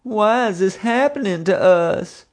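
A man's voice: high voice, normal speed, creaky, darkish tone, voice pushed up. A man voicing a big cowardly security officer, whining and moaning in fear, in an audiobook story. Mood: wailing despair.

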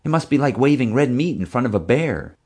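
A man's voice: mid-range voice, lively intonation, a little fast, a little mellow, husky, voice pushed up. A man voicing an eager, nerdy schoolboy with an earnest, inquisitive, chattering manner in an audiobook story. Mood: curious, insistent. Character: eager, nerdy schoolboy animal-park fanatic.

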